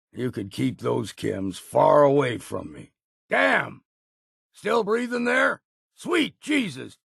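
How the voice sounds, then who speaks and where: An actor playing a gruff old wilderness hunter in a video game, with a husky, gravelly voice and a folksy, plainspoken manner. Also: male voice, a little high, a bit bright, no echo, clean studio sound, full character voice. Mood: startled. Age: older. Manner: folksy, plainspoken, crusty, drawn-out phrasing. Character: gruff old male wilderness hunter and hard-drinking companion. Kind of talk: video game character dialogue.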